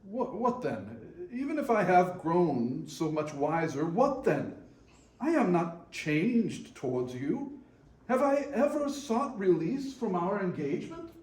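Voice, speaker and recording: In an echoey room, a man plays an old miser in a stage reading. His pitch swings widely as he pleads and exclaims, anxious and emotional. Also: sing-song, takes it slowly, darkish tone, full character voice. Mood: defensive. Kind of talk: readers theater stage reading.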